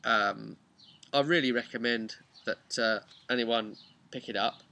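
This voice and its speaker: A man's voice: mid-range voice, unhurried, creaky, bright, forceful tone. A man chattily recapping a tabletop game as its game master, slipping into casual storytelling, with some background noise.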